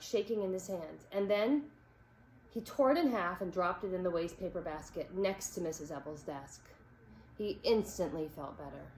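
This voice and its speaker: A woman reading a children's story aloud as an expressive narrator. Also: lowish voice. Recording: echoey room with background noise.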